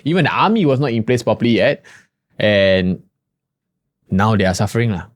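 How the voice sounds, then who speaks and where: A young man with a Singaporean English accent, co-hosting a casual podcast discussion, getting animated when he makes a point. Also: moderate pace. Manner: animated, rising pitch when making a point. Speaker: podcast co-host.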